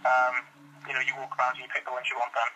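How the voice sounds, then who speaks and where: A man's voice: medium pitch, completely flat delivery, racing, sharp tone. A British man working as a customer service agent, taking a telephone call. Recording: noisy telephone line.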